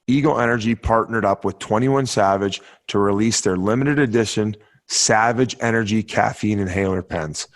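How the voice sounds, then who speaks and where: A podcast co-host doing a loose, crude ad read in casual talk. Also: male voice, medium pitch.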